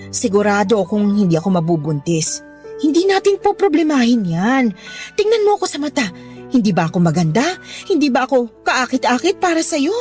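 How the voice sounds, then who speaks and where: High, swooping, desperate widowed boss. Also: female voice, darkish tone. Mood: seductive, pleading. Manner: pleading, insistent, emotional. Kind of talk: Tagalog radio drama acting. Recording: music bed behind the voice.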